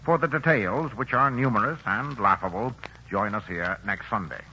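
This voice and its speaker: A man's voice: on the low side, moderate pace, bright, forceful tone, a little hiss or hum. A man announcing next week's play in a polished, jovial radio-promo style. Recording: dull lo-fi 1940s radio broadcast with music.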